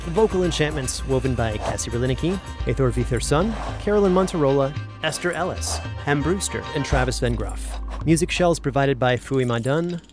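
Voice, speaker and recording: A woman's voice: very deep voice, animated, fairly quick, few pauses, music in the background. A woman playing a tired, wry teacher and scholar in a fantasy audio drama. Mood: businesslike. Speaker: voice actor.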